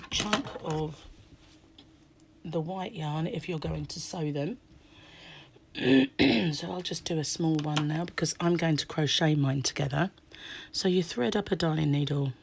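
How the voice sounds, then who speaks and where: A woman with a slightly croaky voice from a sore throat, chatting through a crochet tutorial and dropping to soft half-whispers as she works. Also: deep voice. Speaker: crochet teacher. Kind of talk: hands-on craft tutorial.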